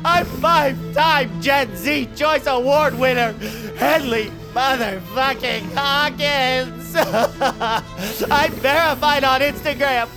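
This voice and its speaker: A vain, cowardly male film star in an audio drama. His voice sits very high and he draws his words out, stammering as he wheedles and boasts, with music playing behind him. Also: slow, hardly any pauses, heavily acted, rough recording. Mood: wheedling, indignant.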